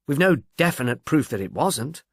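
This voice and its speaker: Man performing sceptical police officer, grudging, precise, exasperated, very varied pitch, dry recording. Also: animated, normal speed, slightly rough, no echo. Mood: conceding.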